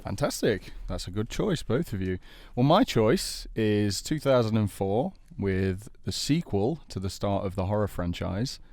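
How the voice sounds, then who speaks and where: Lowish-voiced male host, dry close sound. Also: expressive, unhurried, a little mellow, no echo. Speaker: host of podcast debate. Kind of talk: conversational presenting.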